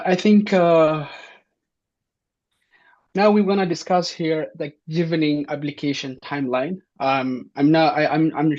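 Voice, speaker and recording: A man from Somalia walks listeners through information in a fairly high voice, full of "um" and "you know" as he restarts his sentences. The sound is dull and lo-fi, like an online session over a video call. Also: darkish tone. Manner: hesitant, many fillers and restarts. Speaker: presenter. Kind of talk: informational talk.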